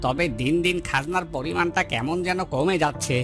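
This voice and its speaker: A man's voice: a little high, fairly quick, natural voice. A man playing a village headman's deferential, grumbling henchman in a cartoon. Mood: deferential, complaining. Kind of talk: Bengali moral-story cartoon dubbing. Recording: loud music bed behind the voice.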